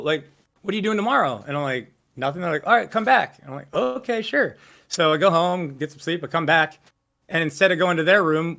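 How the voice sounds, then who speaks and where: Low voiced guy rambling thru band stories, bright edge, lots of um and like, swears a bit, on a video call. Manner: rambling, lots of fillers and restarts, swears casually. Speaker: singer interviewee. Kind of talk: casual interview storytelling.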